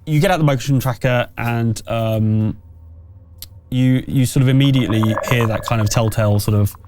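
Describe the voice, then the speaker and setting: A man running a tabletop horror game as game master, improvising descriptions in a halting, conversational way. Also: medium pitch, fairly even intonation, darkish tone, slight background noise.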